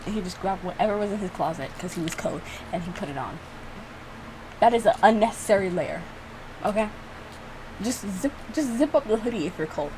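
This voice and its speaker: A woman giving casual, informal reaction commentary as a video creator, animated and playfully exasperated. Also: on the low side, noisy background. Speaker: video creator reacting to own visual novel.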